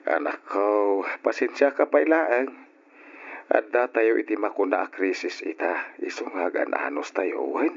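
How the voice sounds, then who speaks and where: Low-voiced mild father, muffled radio. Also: a little slow, slightly rough. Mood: apologetic, gentle. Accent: Ilocano. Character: money-strapped father and husband, mild, coaxing. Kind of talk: old radio drama acting. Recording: muffled lo-fi old radio drama.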